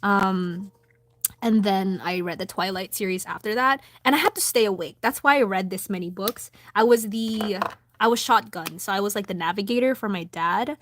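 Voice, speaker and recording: A young woman with a Filipino accent chatting casually with her livestream viewers while drawing, soft-spoken with lots of ums. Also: mid-range voice, normal speed. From Philippines. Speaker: livestream host. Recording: background music. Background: music.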